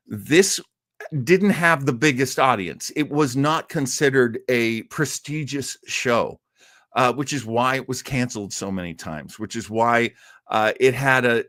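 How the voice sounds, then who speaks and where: A man's voice: mid-range voice, fairly bright tone, no echo. Chatty, enthusiastic man, dry close sound. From United States, outside Boston. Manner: enthusiastic, chatty fan, rambling asides. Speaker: host of an old-time radio listening show. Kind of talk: casual live chat with listeners.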